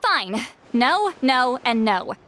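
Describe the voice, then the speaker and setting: A woman's voice: a little high, very animated, slow, a bit bright, a little hiss or hum, heavily acted. A woman playing an irritable, sarcastic, centuries-old but youthful-sounding shaman in a video game. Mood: exasperated. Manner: grumpy, sarcastic, haughty. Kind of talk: animated video game voice acting.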